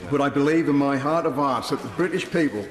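Earnest man speaking in echoing chamber. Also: mid-range voice. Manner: reads prepared speech, earnest and measured. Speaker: member of parliament. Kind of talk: parliamentary debate speech. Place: large debating chamber, indoors. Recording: echoey chamber with long reverb.

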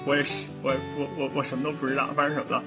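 A man's voice: medium pitch, level tone, racing. A man hosting a casual online cast chat, putting questions to the others. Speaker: host asking questions. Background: guitar music.

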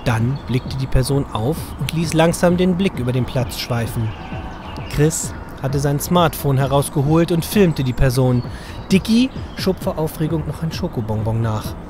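A man's voice: medium pitch, lively intonation, normal speed, music in the background. A man reading scripted narration as the narrator of a children's audio drama.

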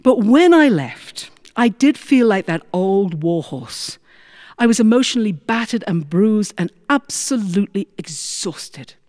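A middle-aged British woman telling a story live, with dramatic, deliberate delivery. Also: medium pitch, very animated, darkish tone. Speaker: storyteller, former prison chaplain. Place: recording studio with small audience.

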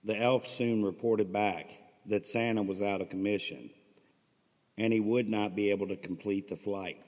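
A man's voice: on the low side, fairly even intonation, normal speed, a little mellow. A man introducing and reading his own stories as the host, with narration in a storytelling style. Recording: long reverberant hall-like echo.

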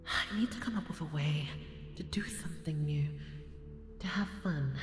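A woman voicing a bored, volatile, haughty goddess in an ASMR audio roleplay, drawling and slipping into breathy murmurs. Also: sits low, moderate pace, close to an everyday voice. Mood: bored, frustrated. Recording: echoey hall reverb with music behind.

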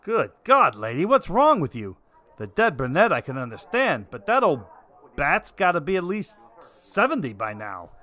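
A man playing a gruff, wry middle-aged private investigator in a noir radio drama. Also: fairly high pitch, huge pitch swings, normal speed, pushed and bright, full character voice. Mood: exasperated. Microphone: close studio microphone, headset worn.

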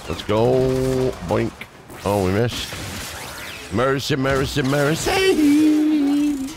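A man's voice: mid-range voice, very animated, very slow. A man giving casual, playful gameplay commentary, throwing in exclamations and mild substitutes for swearing. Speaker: gaming YouTuber. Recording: music playing behind the voice. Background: game music.

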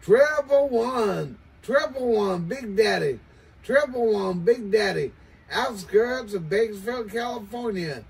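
Older american guy on cb radio, gravelly voice, chanty catchphrases, bit far from the mic. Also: sits high, expressive, slow, soft background music. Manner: chanting, repetitive sign-offs. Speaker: CB radio operator. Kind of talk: CB radio calling with repeated catchphrases. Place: small cluttered home radio shack, indoors. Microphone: far camera, while speaking into CB desk microphone. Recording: distant mic, some background noise.

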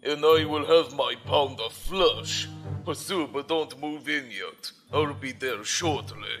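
An actor playing a stern, arrogant commodore of a space base, speaking in a formal, pompous, commanding way in an audio drama. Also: male voice, on the high side, takes it slowly, a bit bright, faint music behind. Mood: cold, sardonic.

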